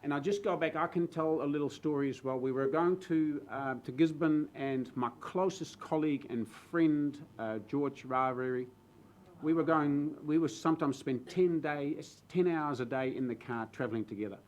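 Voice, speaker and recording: A man from the Netherlands with a Dutch accent, a new councillor speaking earnestly in a council chamber, halting with fillers and restarts. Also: on the high side, darkish tone. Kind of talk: speech in a council debate. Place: council chamber with public gallery.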